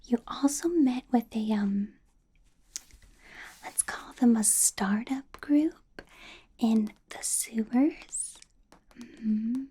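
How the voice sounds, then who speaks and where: Late night in a vampire's candlelit office, an ancient lady boss leans close, whispering breathily and very slowly, doting and menacing, acted by a woman for ASMR. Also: on the high side, husky, heavily acted. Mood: attentive, doting. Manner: slow, drawn-out, coaxing, soft chuckles and repeated words. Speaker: ASMR roleplay performer. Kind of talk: whispered ASMR roleplay, personal attention.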